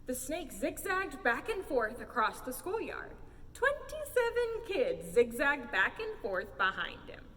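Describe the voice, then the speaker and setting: A woman reads a children's story aloud with big swoops in pitch and a theatrical delivery. Her voice is high, and it rings in a large echoing space, away from the mic with faint noise behind. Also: measured pace. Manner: theatrical, expressive. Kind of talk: animated children's picture book read-aloud. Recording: roomy hall, distant mic, faint noise.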